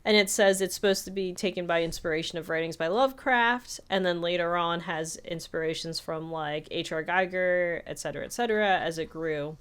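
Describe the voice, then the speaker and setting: A woman's voice: on the low side, a little fast, hardly any pauses, a bit bright, smooth. Wry woman in casual podcast chat. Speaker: podcast co-host. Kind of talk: casual chat, paraphrasing notes.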